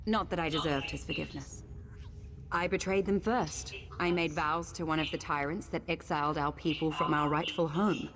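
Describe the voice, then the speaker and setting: A grim exiled sea captain. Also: female voice, deep voice, steady tone, normal speed, background noise. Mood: remorseful. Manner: grim, remorseful. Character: guilt-ridden exiled female sea captain. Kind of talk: video game voice acting.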